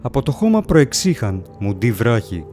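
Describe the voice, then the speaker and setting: A man playing a haunted, bewildered wanderer who narrates a gothic horror tale in a solemn, measured, dramatic way. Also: mid-range voice, very animated, mellow, faint music behind, close to an everyday voice. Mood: uneasy, astonished. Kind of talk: dramatic first-person audiobook narration.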